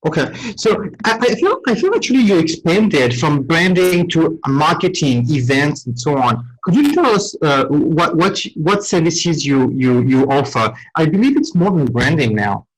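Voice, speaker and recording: A man hosting a business interview podcast, speaking haltingly with frequent restarts and self-corrections. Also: a little high, very animated, breathy edge, rough recording. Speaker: podcast host.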